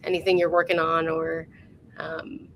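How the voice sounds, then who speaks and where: A woman's voice: deep voice, completely flat delivery, a little slow, slight background noise. A woman hosting a casual interview over a video call, informal and enthusiastic in the way she talks. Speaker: interview host.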